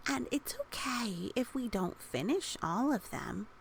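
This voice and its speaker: A woman voicing a calm, kindly storybook friend who speaks softly and reassuringly. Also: fairly high pitch, unhurried, gravelly, pitched above the performer's own voice, rough recording. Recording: faint background noise.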